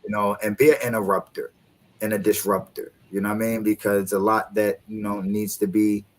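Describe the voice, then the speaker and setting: A man in his thirties, a guest coach, speaking in a relaxed, reflective way and often adding "you know what I mean". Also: lowish voice, a little fast, rough recording. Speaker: podcast guest, encouragement coach. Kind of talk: reflective casual conversation.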